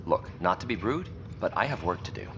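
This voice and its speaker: Embittered station worker: lowish voice, defiant game drama delivery, noisy ambience behind. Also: male voice, animated, brisk. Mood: defensive. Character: bitter, defiant male station worker turned saboteur. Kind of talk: video game voice acting. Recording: muffled, clear vehicle-like background noise.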